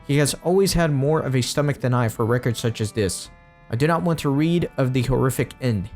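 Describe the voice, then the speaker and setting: A man reading aloud a sorrowful, polite dwarf's dialogue from a fantasy game in formal, gentle phrases. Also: mid-range voice, brisk, soft background music, natural voice. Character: sorrowful, polite male dwarf dreading grim news.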